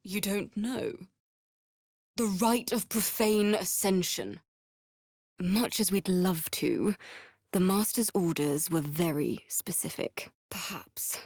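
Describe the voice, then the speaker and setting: A woman voicing a formal, resigned female vampire spawn in a dramatic video game, with a grave, measured delivery. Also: fairly low pitch, a little mellow, breathy edge, no echo, clean studio sound, full character voice. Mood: grave resignation.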